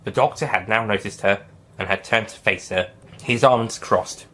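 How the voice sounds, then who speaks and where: A man narrating a fan-written story aloud in a plain, steady reading voice. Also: fairly low pitch, moderate pace. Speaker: narrator and writer.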